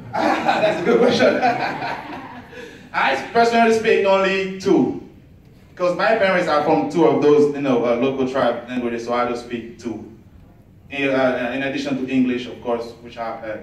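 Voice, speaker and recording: A man from Ivory Coast fielding questions after a talk, speaking in halting stretches full of fillers and restarts. He sounds far from the microphone, in a very echoey room with faint background noise. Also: mid-range voice. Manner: halting, many fillers and restarts, laughs easily. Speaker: student presenter answering audience questions. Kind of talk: Q&A after a presentation.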